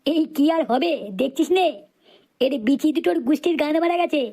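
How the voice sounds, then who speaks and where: Someone acting a high-pitched, bossy cartoon doctor. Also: steady tone, fairly quick, vocal fry, warm tone. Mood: grave, blunt. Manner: bossy, foul-mouthed. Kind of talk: comedy cartoon dubbing.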